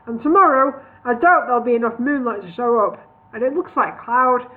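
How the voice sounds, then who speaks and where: A woman voicing a deaf, querulous old male wizard with a mock Newcastle accent, shouting and sulky. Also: brisk, lightly acted. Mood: rambling. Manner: shouting, sulky, squawking high. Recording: tinny phone-like audio.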